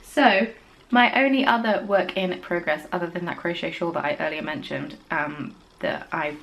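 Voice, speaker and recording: A young woman hosting a podcast, chatting casually about her knitting in a rambling way and dropping into quiet, whispered asides. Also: lowish voice, normal speed, gravelly, rough recording. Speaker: podcast host.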